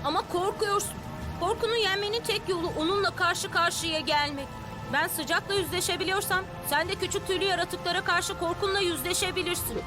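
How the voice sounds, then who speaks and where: A woman's voice: extremely high pitch, a little fast. A woman playing a confident young boy hero in a Turkish-dubbed action cartoon, bossy and encouraging to teammates. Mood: coaching. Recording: music behind the voice.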